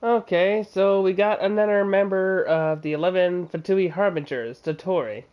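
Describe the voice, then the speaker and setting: A man livestreaming a game with casual, somewhat halting commentary. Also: high voice, smooth, highs cut off. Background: game music.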